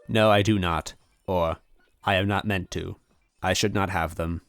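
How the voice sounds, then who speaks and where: Guy reading as a sad outdated computer, very formal n apologetic, lowish dry voice, story character. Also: fairly even intonation, measured pace, gravelly, fairly bright tone, clean tone, dry recording, heavily acted. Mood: formal, resigned. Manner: formal, stilted, precise wording. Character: lonely, apologetic obsolete supercomputer, formal and polite.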